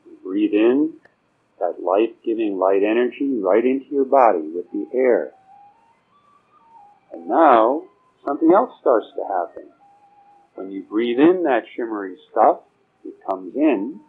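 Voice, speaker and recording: A man with a fairly low, rough-edged husky voice leads a guided meditation. He speaks soothingly and informally, offering each instruction as permission and leaving pauses between phrases. The recording is muffled and narrow, like an old lo-fi tape. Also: lively intonation. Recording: dull, muffled lo-fi recording with music.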